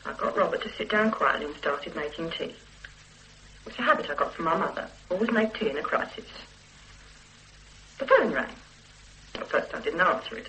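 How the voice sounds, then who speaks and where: A defensive, indignant housewife in an old radio play. Her bright voice swings widely in pitch. She sounds protective and put out. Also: a little hiss or hum, rough recording. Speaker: witness being questioned. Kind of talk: radio drama acting.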